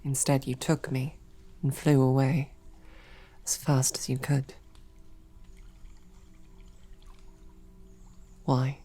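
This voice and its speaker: A woman's voice: a bass voice, little pitch movement, measured pace, faint music behind. A woman voicing a devoted, whispering royal knight. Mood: soft, searching. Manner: soft, intimate, earnest questioning. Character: devoted female royal knight, protective, snarky. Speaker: knight in an audio roleplay. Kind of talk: whispered audio roleplay.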